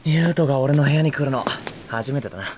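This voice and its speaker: A man's voice: medium pitch, fairly quick, background noise, natural voice. A male actor playing a down-to-earth young man in a voice drama, speaking casually and bluntly but with care. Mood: relaxed, friendly. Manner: casual, rough, blunt speech. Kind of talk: Japanese voice drama acting.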